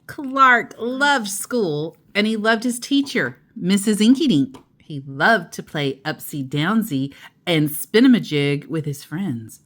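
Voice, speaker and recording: A woman reading a children's picture book aloud in an expressive, playful way, with chatty asides. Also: medium pitch, slow. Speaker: storytime host and narrator.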